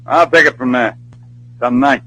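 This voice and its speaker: An actor playing a sardonic, domineering gangster who speaks in mocking slang, in an old radio drama. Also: male voice, mid-range voice, normal speed, pushed and bright, lightly acted, poor audio. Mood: commanding, mocking. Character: menacing, sardonic gangster boss who bullies his partner. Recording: old radio broadcast recording.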